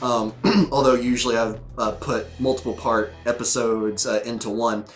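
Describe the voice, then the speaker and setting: A man's voice: mid-range voice, steady tone, normal speed, faint music behind. A man hosting his own show, chatting loosely with lots of fillers and restarts, his voice scratchy from a sore throat. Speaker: host reviewing episodes. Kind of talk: casual rambling commentary to camera.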